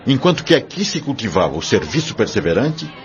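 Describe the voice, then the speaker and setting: A man's voice: mid-range voice, sing-song, brisk. A man with a Brazilian accent playing a wise, benevolent spirit mentor in an audio drama, teaching solemnly in formal words. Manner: solemn, didactic, formal diction. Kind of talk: audio drama dialogue, explanatory. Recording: dull lo-fi audio with music behind.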